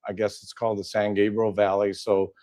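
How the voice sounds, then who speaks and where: A man's voice: lowish voice, level tone, a little fast, a little mellow. An older man from Southern California, a guest in a casual interview, talking with many fillers and restarts.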